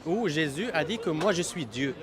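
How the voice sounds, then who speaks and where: A man debating religion informally outdoors, challenging insistently in a halting way and repeating his phrases. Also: fairly high pitch, expressive. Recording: outdoor crowd noise behind the voice.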